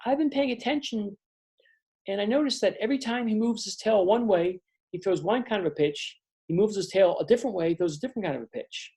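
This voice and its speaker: A man voicing an eager young female possum baseball player, pleading and excited as he reads his story aloud. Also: high-pitched, fairly quick, voice pushed up. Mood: explaining.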